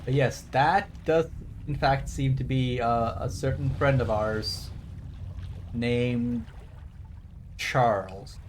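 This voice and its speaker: A man's voice: medium pitch, slow pace, pauses often, a little hiss or hum. A man giving casual livestream game commentary, digressive and wry as he explains trivia. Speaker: game streamer.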